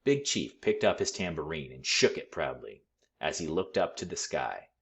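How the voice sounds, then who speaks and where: A man reading a picture book aloud to children in an expressive, teacherly way. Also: rumbling low, normal speed, vocal fry, husky. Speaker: school read-aloud storyteller.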